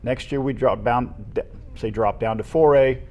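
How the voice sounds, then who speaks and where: An American man co-hosting a local high school sports show, discussing teams in a conversational style. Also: normal speed, dark tone, lo-fi sound.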